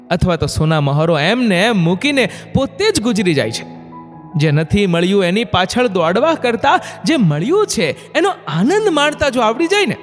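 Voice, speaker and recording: High-pitched, animated man telling a story. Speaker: narrator of motivational stories. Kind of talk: scripted moral storytelling. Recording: long hall reverb, music bed. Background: background music.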